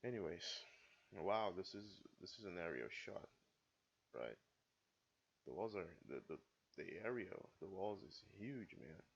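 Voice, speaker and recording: A man for whom English is a second language, calmly commenting on an anime episode in a casual, self-described stoic way, with dull lo-fi sound. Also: sits low, a little slow, creaky, long pauses, slightly rough. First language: not English. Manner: self-described stoic, muttering asides and apologies. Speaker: anime reaction video host.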